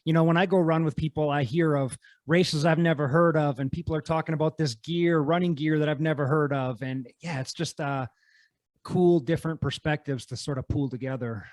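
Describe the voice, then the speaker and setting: A man's voice: a little high, steady tone, brisk, a little mellow, no room sound. A male podcast host chatting in a laid-back, enthusiastic way and often calling his guest "man". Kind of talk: casual conversation.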